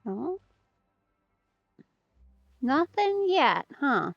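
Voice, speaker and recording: Female let's play streamer, unhurried, casual, expressive swings in pitch, slightly muffled audio over game music. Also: creaky. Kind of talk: casual live gameplay commentary. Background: video game music.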